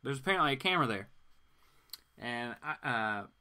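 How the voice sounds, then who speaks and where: A man doing a casual dramatic reading as host, adding slangy, wry asides in an animated way. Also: mid-range voice, normal speed, smooth. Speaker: host reading comic books aloud.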